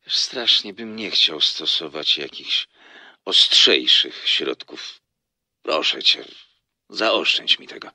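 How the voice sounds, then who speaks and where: A man performs a mysterious, evasive man from an audiobook drama. His low voice is strikingly bright and close, with no room around it, as he earnestly pleads. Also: expressive, slow, no room sound, exaggerated voice. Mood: soft warning.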